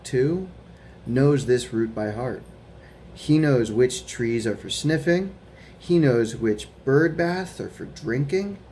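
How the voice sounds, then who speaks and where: A young adult man reading a children's picture book aloud at home, in a gentle storytelling way with chatty asides and questions for the listeners. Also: mid-range voice, slight background noise. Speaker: guest reader of a children's book. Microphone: camera mic.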